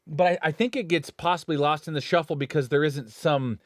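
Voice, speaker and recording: A man's voice: on the high side, a little fast, dry recording. A man casually chatting about studio gear on a live stream, rambling and self-correcting as he talks. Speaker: studio engineer co-host.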